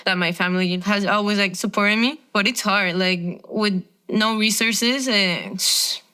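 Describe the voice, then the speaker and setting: A woman's voice: medium pitch, steady tone, a little slow, pushed and bright, clean tone. A young woman from Venezuela, a professional tennis player, chatting casually as an interview guest with lots of filler words. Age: 20s.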